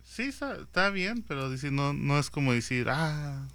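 Brief: male radio weather guy, slightly high, bright and crisp, easygoing. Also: lively intonation, moderate pace. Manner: light, laughs easily, mixes in English words. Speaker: weather reporter. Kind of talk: casual radio chat and weather report.

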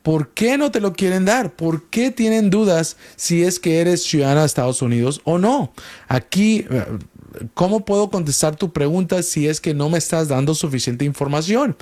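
Male host lawyer with a fairly high voice. Patient and explanatory, with frequent restarts. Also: lively intonation, normal speed, darkish tone. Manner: explanatory, asks many questions, often restarts words. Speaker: immigration lawyer hosting a call-in show. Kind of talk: radio call-in legal advice.

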